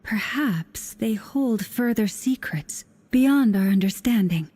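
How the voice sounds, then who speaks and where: An actress voicing a thoughtful, serene adult woman in a video game, speaking slowly and in a measured, hushed way. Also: fairly high pitch, expressive. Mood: contemplative.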